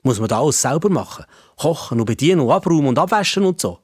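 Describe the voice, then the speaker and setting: Children's storybook gentleman, voiced by a man: old-fashioned, Swiss-accented, courteous yet cheeky, full of curiosity, pitched up. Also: sing-song, quick pace, husky, dry recording, studio quality. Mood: curious, formal. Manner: polite but cheeky, inquisitive.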